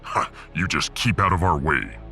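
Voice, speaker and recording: A man performs a proud barbarian warrior aboard a starship, low-voiced and formal. He is stoic yet bellicose, with big swings of intonation and music underneath, in sci-fi radio-play style. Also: lively intonation, normal speed, creaky, pushed and bright, exaggerated voice. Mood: stern. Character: proud, battle-hungry barbarian warrior serving as ship's gunner. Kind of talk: sci-fi audiodrama acting.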